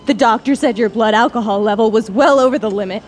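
An actress playing a bitter, grieving wife and mother in an audio drama, speaking with cold, deliberate accusation. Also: a little high, lightly acted. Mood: cold, accusing. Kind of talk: live audio drama acting. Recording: live recording with faint ambient noise.

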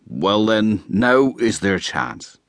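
A man's voice: fairly low pitch, big pitch swings, slow pace, no echo, studio quality, exaggerated voice. A man voicing a drunken, brash rustic hay trusser who blusters and boasts. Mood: blustering. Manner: blustering and boastful, shouting at times.

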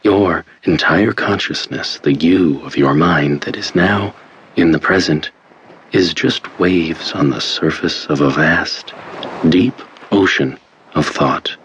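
Very deep, slow hypnotist. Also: male voice, fairly bright tone, slight background noise, full character voice. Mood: soothing, hypnotic. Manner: slow, deliberate, soothing, hypnotic phrasing. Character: calm, controlling male hypnotist narrator of a treatment tape. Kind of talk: guided hypnosis instructional tape in an audio drama. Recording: dull lo-fi cassette-style sound. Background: faint music.

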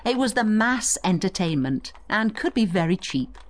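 A woman giving scripted educational narration as a guide, in an instructive, expressive storytelling manner. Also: medium pitch, moderate pace. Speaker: study guide narrator and tour guide.

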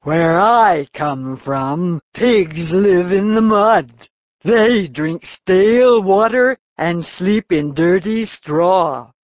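A woman's voice: lowish voice, no room sound, highs cut off. A woman playing a snooty, irritable milk cow in a children's audiobook, pompous and condescending, drawling her words. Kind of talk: full-cast children's audiobook acting.

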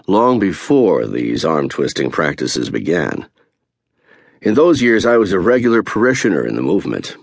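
A man reading a first-person memoir as an audiobook narrator, measured and earnest, slowing down to stress key phrases. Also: low-pitched, creaky, slightly rough, no echo, clean studio sound.

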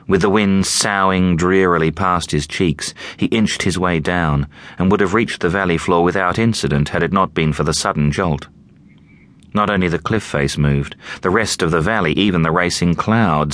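A man narrating an audiobook in a measured, deliberate storytelling manner. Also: low voice, fairly bright tone.

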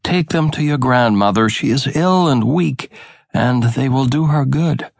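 A man's voice: mid-range voice, unhurried, gravelly, dry recording, studio quality, voice pushed up. A man voicing a careful, fussy mother giving instructions in a storybook. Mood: caring, instructing.

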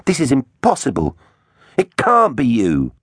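A man's voice: on the high side, very animated, normal speed, dry recording, clean studio sound, voice pushed up. A man playing a brave, cheeky dinosaur captain who is the young-spirited hero of a children's storybook. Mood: shocked disbelief.

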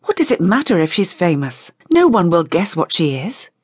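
A woman's voice: mid-range voice, big pitch swings, moderate pace, no echo, voice pushed up. A woman voicing a defensive, impatient female vampire in an audiobook, with a challenging, questioning manner. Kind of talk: audiobook character dialogue.

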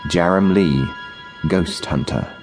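A male announcer with a lowish voice reads a dramatic radio drama introduction. Prominent music plays behind him as he delivers the scripted lines formally. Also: slow.

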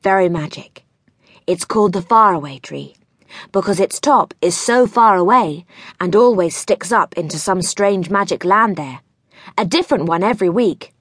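An earnest, sensible country girl, acted by a woman in a storybook reading. She explains eagerly with an animated rise and fall, in a voice a little higher than an adult's, recorded dry. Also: normal speed, dry recording, natural voice. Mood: earnest wonder. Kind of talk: children's audiobook character voice.

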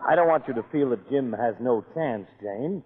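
An actor playing a formal, measured banker in a 1940s radio play. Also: male voice, mid-range voice, animated, background noise, natural voice. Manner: stiff and formal. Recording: tinny 1940s radio broadcast.

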